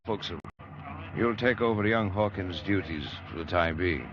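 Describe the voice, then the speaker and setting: Man acting as a stern ship captain, commanding, gruff, lo-fi vintage radio sound. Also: low-pitched, moderate pace, lightly acted. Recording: dull old radio broadcast recording.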